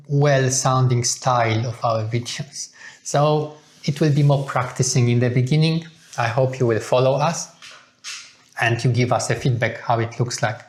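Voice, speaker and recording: A man speaks at a middling pitch. He addresses viewers as a co-host in a relaxed chat, laying out his thoughts in a measured, earnest manner. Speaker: co-host of a couple's video channel.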